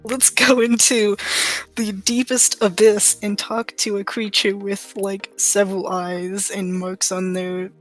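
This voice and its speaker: A woman chatting casually as a guest, retelling things in an animated, slangy way with acted-out quotes. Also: medium pitch, takes it slowly, faint music behind, low-quality recording. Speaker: guest, series participant. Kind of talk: casual chat.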